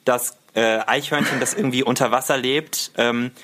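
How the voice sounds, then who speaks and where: A young man with an average-pitched voice speaks as a guest in a casual podcast conversation. He lays out his points playfully, with a mock-serious air. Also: normal speed, a little hiss or hum. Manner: playful, animated explaining with mock-serious arguments. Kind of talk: casual humorous podcast chat.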